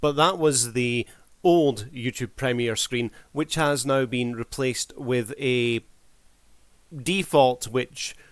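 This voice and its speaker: A man's voice: mid-range voice, slow, no room sound. A man giving casual review commentary as a video creator, in a wry, mock-formal showman's manner.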